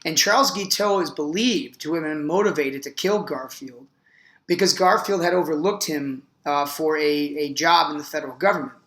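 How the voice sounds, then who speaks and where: A hoarse man giving a relaxed history lesson as the show's teacher and host, talking casually and often filling pauses with "uh". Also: a little high, echoey room. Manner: chatty, frequent "uh" fillers, enthusiastic. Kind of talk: casual video lecture.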